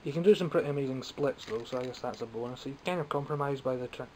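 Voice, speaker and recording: A man's voice: medium pitch, fast talker, a little mellow, a little hiss or hum. Wry Scottish man rambling about toys. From Scotland. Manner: wry, rambling and self-mocking. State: phlegmy, coughing. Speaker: toy collector vlogger. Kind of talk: casual unscripted toy haul chat. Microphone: camera mic, speaking from behind the camera.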